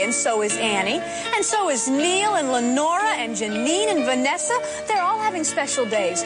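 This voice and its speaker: A woman with a high, very lively voice presents 1980s children's television, sing-song and speaking straight to little viewers. Loud music plays behind her. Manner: addresses small children directly. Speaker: children's TV show host.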